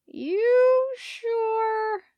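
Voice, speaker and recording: A woman's voice: very high-pitched, drawn-out words, dry recording, lo-fi sound, pitched above the performer's own voice, exaggerated voice. A woman voicing an indifferent, puzzled delivery man with an offhand manner in a story reading. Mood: questioning.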